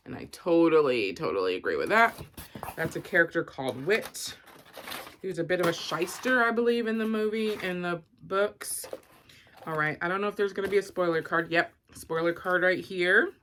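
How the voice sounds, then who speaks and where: A woman's voice: on the low side, a bit bright, a little hiss or hum. A woman giving an informal, rambling book review and unboxing, thinking aloud with frequent asides.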